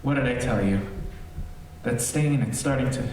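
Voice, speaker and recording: A man playing a lonely, unhinged radio-drama character who rambles haltingly and repeats himself. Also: mid-range voice, a little mellow, lightly acted. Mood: uneasy, brooding. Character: lonely, unhinged man addressing listeners, sliding from wistful to hysterical. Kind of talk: theatrical old-time radio drama acting. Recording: very echoey stage, distant mic, faint noise.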